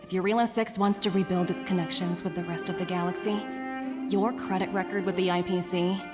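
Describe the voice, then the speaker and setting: Actress as a formal young corporate envoy, reverberant. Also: female voice, lowish voice, fairly even intonation, music in the background, natural voice. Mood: composed, firm. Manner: formal, measured, polite. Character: poised, businesslike young female corporate envoy. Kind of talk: scripted video game dialogue.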